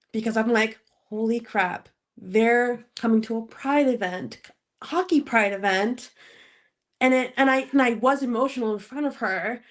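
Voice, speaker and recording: Woman guest on a podcast, kinda high voice, keeps laughing, stops and starts a lot. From Spokane, Washington. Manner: laughs often, halting and searching for words. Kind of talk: casual interview chat.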